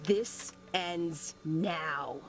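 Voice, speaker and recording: A woman's voice: on the low side, drawn-out words. An actress playing a determined young woman in a game, speaking solemnly and with resolve. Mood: solemn, resolute. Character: determined young woman seeking her sister. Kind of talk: game voice acting. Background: game music.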